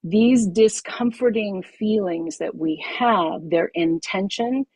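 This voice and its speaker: A middle-aged woman, a yoga teacher, leading a group masterclass over a video call, emphatic and expressive, repeating her key phrases. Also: mid-range voice, takes it slowly, slightly rough, no room sound.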